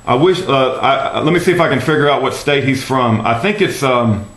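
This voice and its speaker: A roomy, echoing recording of an American man on a phone call. His pitch is average for a man. He speaks as an earnest, courteous advocate, often hesitating and circling back over his phrases. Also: expressive, normal speed, a bit bright, a little hiss or hum. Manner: hesitant, repetitive, polite. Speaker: activist caller phoning a congressman's office.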